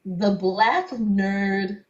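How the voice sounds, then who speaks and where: A woman's voice: medium pitch, drawn-out words. A young woman guest telling stories in a casual interview, laughing often and saying "like" a lot. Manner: chatty storyteller, laughs often, many "like"s. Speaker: cosplayer guest. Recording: dull lo-fi sound.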